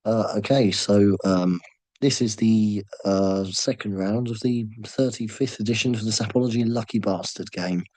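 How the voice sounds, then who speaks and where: A man with a sore throat hosting an online draw, talking in a halting, low-key way with many ums and pauses. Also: a little mellow, dry recording. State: sore throat, voice nearly gone. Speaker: host running a fantasy draw. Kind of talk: casual screen-share commentary.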